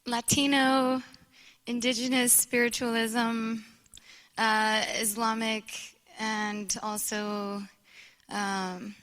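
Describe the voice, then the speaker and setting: A woman who grew up bilingual in English and Spanish, introducing herself to a group in a halting, thinking-aloud way. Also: on the high side, level tone, fairly bright tone. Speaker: panel participant introducing herself.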